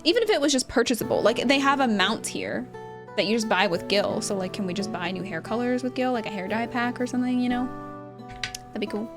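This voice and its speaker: A woman streamer giving casual, animated gameplay commentary, joking and slangy, prone to excited outbursts. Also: a little high, quick pace. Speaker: streamer playing an online role-playing game. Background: game music.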